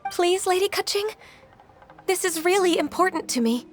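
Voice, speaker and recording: A woman's voice: very high-pitched, animated, moderate pace, faint music behind. A woman voicing a timid, polite, anxious young woman in a video game, with a hesitant, apologetic manner. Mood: nervous.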